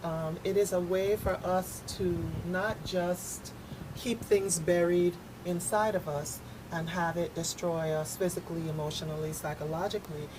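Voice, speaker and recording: Woman on a discussion panel, lowish voice, measured and earnest, noisy background. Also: fairly even intonation. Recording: clear background noise.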